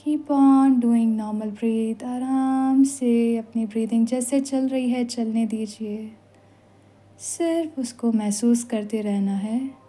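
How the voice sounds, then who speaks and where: A woman with an Indian accent leading a guided meditation as an instructor, giving soothing, unhurried instructions with long pauses. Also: fairly high pitch, steady tone, warm tone. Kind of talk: guided meditation in Hindi with some English phrases. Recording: voice over background music. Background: meditation music.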